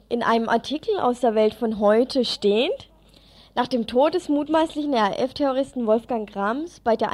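A woman's voice: fairly high pitch, a little mellow. A woman presenting news live on community radio, partly reading out and partly speaking freely.

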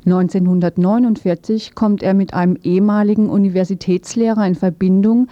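A woman's voice: mid-range voice, steady tone, fairly quick. A woman presents a scripted radio news feature, reading it aloud in a sober, matter-of-fact way. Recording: dull, roomy lo-fi radio recording.

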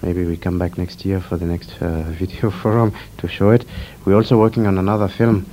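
A man's voice: low-pitched, flat delivery, brisk, mellow, slight background noise. A man talking casually and informally about his work.

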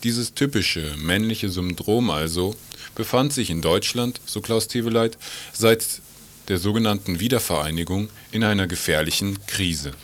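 A man reading scripted narration for a radio feature in a measured, formal, emphatic manner. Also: on the low side.

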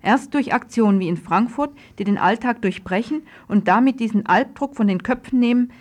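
A woman's voice: a little high, a little fast. A woman radio presenter reading a scripted political news report.

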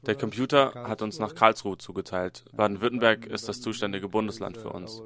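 A man's voice: fairly low pitch, steady tone, brisk, a bit bright. A man reading an interview translation as a voice-over.

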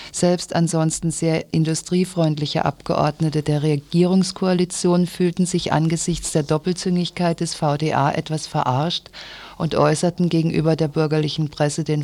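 A woman's voice: low voice, mellow, dry recording. A woman who is a novice newsreader on the radio, recorded on a studio microphone. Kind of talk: scripted news reading. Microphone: studio microphone in a speaker's booth.

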